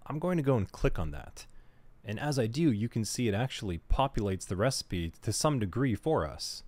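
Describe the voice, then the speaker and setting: Guy with a lowish voice doing a laid back screen tutorial, chatty, thinks out loud. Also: dry recording. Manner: relaxed, conversational, thinks aloud while working. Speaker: cybersecurity instructor. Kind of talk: screen-recorded tutorial walkthrough.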